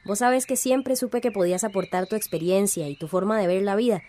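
A woman's voice: mid-range voice, brisk, warm tone, natural voice. An actress playing a grateful, earnest woman activist in a radio drama.

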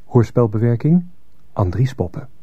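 A man's voice: mid-range voice, big pitch swings, moderate pace, husky, no echo, lo-fi sound. A man formally announcing the credits of a radio play in a scripted style.

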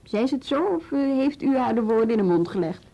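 An actress with a Netherlands Dutch accent playing a sharp, sardonic, questioning police inspector in a naturalistic radio play. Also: female voice, fairly high pitch, lively intonation, fairly quick, creaky. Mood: challenging, dry. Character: sharp, sceptical female police inspector, irritated by office politics. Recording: muffled radio drama recording.

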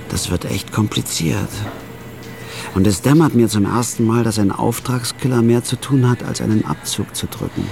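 An actor narrating as a laid-off factory worker turned hired killer, with a dry, wry inner voice. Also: male voice, medium pitch, vocal fry, natural voice. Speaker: narrator and lead. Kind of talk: radio drama narration.

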